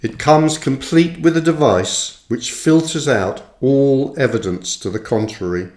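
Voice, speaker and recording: A man reading his own reflective book aloud like an audiobook, with deliberate, measured phrasing and pauses. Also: medium pitch, darkish tone. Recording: roomy, echoing voice recording.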